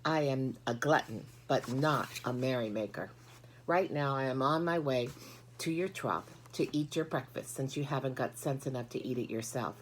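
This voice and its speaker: A woman's voice: rumbling low, normal speed, slight background noise. A woman voicing a sour, selfish, gluttonous male rat in a storybook, with a disdainful manner. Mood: sour, smug. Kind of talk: storybook character voice.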